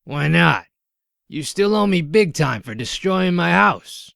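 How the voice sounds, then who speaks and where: A man's voice: a little high, lively intonation, slow pace, vocal fry, fairly bright tone, no room sound, clean studio sound, full character voice. A man playing a sly, self-important talking pig in a comic dub, whiny and wheedling, with indignant, wordy complaints. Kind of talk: comic dub character acting.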